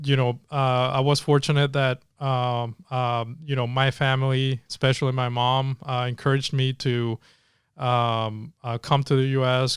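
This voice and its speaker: A man's voice: medium pitch, clear, resonant tone, dry recording, clean studio sound. A man in his forties from Venezuela, a tech entrepreneur telling his story in a casual interview, hesitant with many fillers and restarts.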